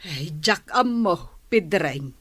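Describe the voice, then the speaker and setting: A woman's voice: lowish voice, expressive, takes it slowly, fairly bright tone, heavily acted. An actress playing a weary, resigned older village aunt in a radio drama, drawing out her words. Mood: puzzled.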